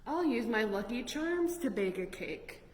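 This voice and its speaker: A woman's voice: a little high, very animated, a little slow, darkish tone. Echoey, earnest little storybook ladybug read by a woman. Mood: eager. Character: young female ladybug, superstitious, earnest, easily discouraged. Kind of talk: children's picture-book read-aloud. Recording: echoey, reverberant room with noticeable noise.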